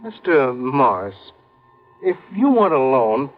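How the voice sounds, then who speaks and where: Cagey, oily radio crime boss. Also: male voice, mid-range voice, big pitch swings, faint music behind. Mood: guarded, cagey. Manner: oily formal politeness, guarded, deliberate hesitations. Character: smooth, cagey male crime boss fronting as lender. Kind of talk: 1940s-50s radio crime acting. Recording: muffled old radio recording.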